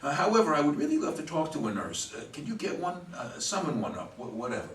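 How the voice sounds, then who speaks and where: An actor in a stage play reading, playing a sarcastic, hungover man in his fifties with a halting, wisecracking delivery, recorded roomy and far from the mic. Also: fairly high pitch, sing-song. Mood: sardonic. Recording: roomy, distant mic, faint noise.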